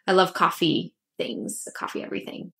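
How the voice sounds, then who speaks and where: A woman's voice: fairly low pitch, expressive, moderate pace, vocal fry, a bit bright. A woman hosting a podcast who asks her guest questions in a friendly, upbeat manner and laughs easily. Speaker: podcast host. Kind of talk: casual interview chat.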